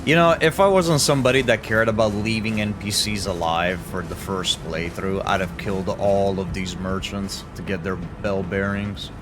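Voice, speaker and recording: A man's voice: low voice, fairly even intonation, normal speed, few pauses, noisy background. A man from Italy streaming a video game, chatting casually and joking with his viewers. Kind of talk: casual gameplay commentary. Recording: game music underneath. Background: music.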